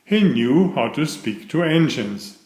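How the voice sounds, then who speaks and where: A man's voice: fairly high pitch, sing-song, slow pace, darkish tone, roomy sound. A man reading the part of a polite, eager little male tram engine in a children's storybook. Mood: fond.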